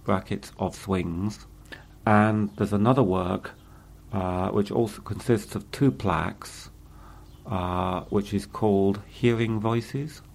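Low, gravelly male interviewer. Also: fairly even intonation, a little mellow. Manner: measured, hesitant questioning. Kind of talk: interview questions.

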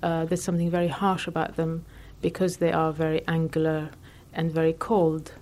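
A woman from Lebanon, an artist answering interview questions in a reflective way, thinking aloud with many pauses and fillers. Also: deep voice, monotone, darkish tone.

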